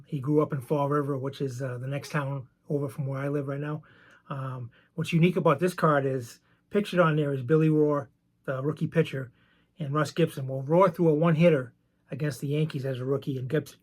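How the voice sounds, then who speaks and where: A man with a rough, gravelly voice sits somewhat above the usual male pitch. He chats his way through his baseball cards in a relaxed, rambling manner and drifts into side stories as he goes. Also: a little fast. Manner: easygoing, chatty, wanders off on side stories. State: voice roughened by medication. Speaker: vintage card collector and channel host. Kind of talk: casual show-and-tell about baseball cards.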